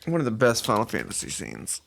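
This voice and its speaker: A man's voice: medium pitch, wildly swooping pitch, brisk, gravelly, a bit bright. A man co-hosting a podcast in casual chat, talking in a jokey, colloquial way. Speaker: podcast co-host. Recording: music behind the voice.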